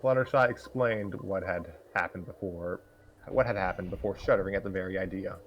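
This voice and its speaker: A man's voice: deep voice, fairly quick, muffled recording, slight background noise. A man hosting and narrating a live read-along of a fan story. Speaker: host and narrator.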